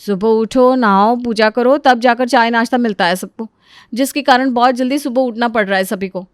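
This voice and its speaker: A woman's voice: a little high, moderate pace, clear, resonant tone, no room sound, studio quality, close to an everyday voice. A woman voicing a respectful but exasperated elder daughter-in-law, pleading and complaining in a moral story. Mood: pleading complaint. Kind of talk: acted dialogue in a moral story.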